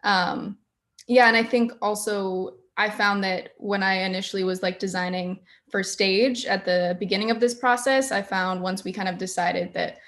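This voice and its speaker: A woman in her early twenties talking casually on a video call panel, halting as she searches for words. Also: medium pitch, fairly even intonation, fairly bright tone. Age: early 20s. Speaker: student sound designer.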